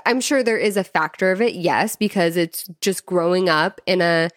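A woman's voice: lowish voice, normal speed, no room sound, studio quality. A woman telling personal stories in casual conversation, informally, with "like" sprinkled through her sentences. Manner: informal, peppers speech with "like". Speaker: podcast co-host. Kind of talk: casual chat, personal storytelling.

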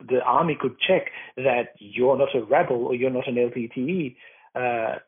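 A man answers interview questions in a halting, thoughtful way, pausing on fillers and starting over mid-sentence. His voice is a little husky and breathy. It comes through a thin, phone-like line. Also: medium pitch. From Sri Lanka. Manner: thoughtful, many fillers and restarts. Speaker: guest, documentary maker. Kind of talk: interview answers, personal account.

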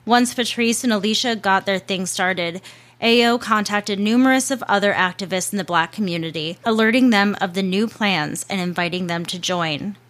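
A woman's voice: medium pitch, moderate pace, a bit bright. A woman hosting a show, reading a scripted narration in a casual way with chatty asides and the odd swear word.

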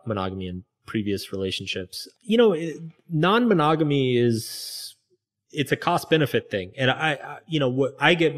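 A man's voice: medium pitch, fairly bright tone. A man being interviewed as a guest author, talking in a loose, reflective way with many fillers. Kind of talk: candid conversational interview.

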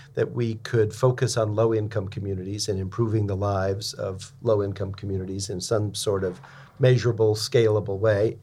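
A man, a firm founder, answering interview questions in a measured, steady way with occasional "uh"s. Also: fairly low pitch. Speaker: venture capital founder.

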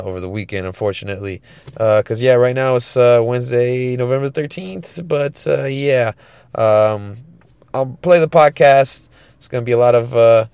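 A man's voice: mid-range voice, a little mellow, smooth, dry recording. A congested man hosting his own podcast, talking in loose, laid-back slang with lots of fillers. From California. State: stuffed up, congested. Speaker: podcast host. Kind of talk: casual chat.

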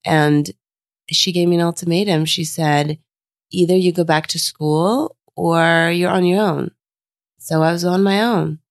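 Woman guest from Sweden, low creaky voice, candid storyteller, a bit under the weather. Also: steady tone, a little slow, no echo, studio quality. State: unwell, lower voice. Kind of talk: casual interview conversation.

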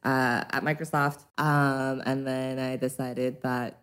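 A woman's voice: very deep voice, little pitch movement, slow. A young woman with an American accent, raised in Hong Kong, chatting casually as an interview guest and laughing often. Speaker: interview guest, pole dance instructor.

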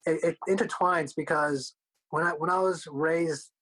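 A man's voice: on the high side, fairly even intonation, a little slow, a bit bright, low-quality recording. A man whose first language is Korean, a guest speaking loosely and jokingly, full of "like" and "you know". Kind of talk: casual interview chat.

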